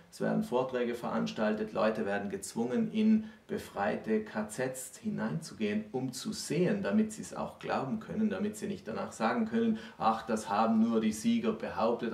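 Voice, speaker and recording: A man in his forties explaining history as a co-presenter, in a deliberate, conversational lecture style. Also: on the low side, creaky, darkish tone. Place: small indoor room with bookshelves. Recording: roomy echo, distant microphone.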